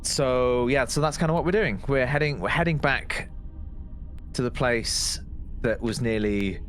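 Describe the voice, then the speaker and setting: A man's voice: mid-range voice, unhurried, fairly bright tone, faint music behind. A man casually recapping events as a player in a tabletop roleplaying game, hesitating, repeating words and trailing off.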